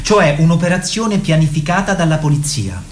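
A man's voice: fairly high pitch, lively intonation, moderate pace, a little hiss or hum. Man, actor narrator, scripted radio theatre, dramatic narration, roomy echo. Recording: roomy, echoing sound.

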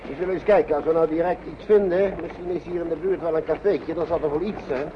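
An actor playing a kindly, patient uncle coaxing small children along in a naturalistic radio drama, often repeating himself. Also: male voice, on the high side, steady tone, fast, close to an everyday voice. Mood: caring, encouraging. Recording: dull old radio recording, loud noise.

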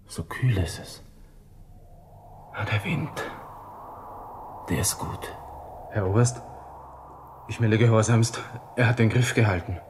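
An actor playing a panicked, proud young army lieutenant in a radio drama monologue, talking to himself with a Viennese accent. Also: male voice, fairly low pitch, slow pace, long pauses, noisy background. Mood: shocked, agitated, then pleading. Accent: Viennese Austrian. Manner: agitated self-talk, from hushed muttering to outbursts.

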